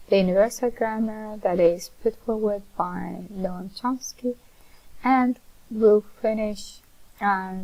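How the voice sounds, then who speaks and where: A woman with a Persian accent giving a recorded linguistics lecture in a measured, explanatory way, with frequent fillers. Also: mid-range voice, gravelly, mellow, low-quality recording.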